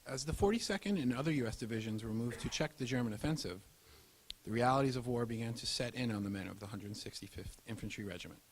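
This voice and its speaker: Male narrator, mid-pitched, scripted history documentary read, faint hiss behind the voice. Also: fast talker, rough recording. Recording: audible background hiss.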